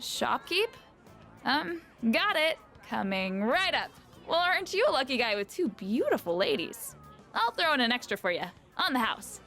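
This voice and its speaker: A woman playing a cheery, flattering food-stall vendor in a video game, with folksy, chatty patter. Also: high voice, normal speed, faint music behind, full character voice.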